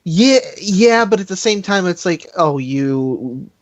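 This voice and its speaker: A podcast co-host in a casual, animated and argumentative fan discussion. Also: mid-range voice, slow pace, no echo, muffled recording.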